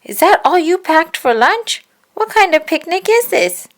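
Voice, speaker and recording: A woman's voice: very high-pitched, sing-song, a little slow, voice pushed up, heavily acted. A woman voicing a candid, questioning female bear friend in a children's storybook, with lilting delivery. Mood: indignant.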